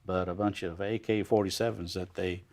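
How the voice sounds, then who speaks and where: A man in his sixties hosting a TV studio interview, asking leading questions in a halting way with many pauses. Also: low voice, steady tone, darkish tone. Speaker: host.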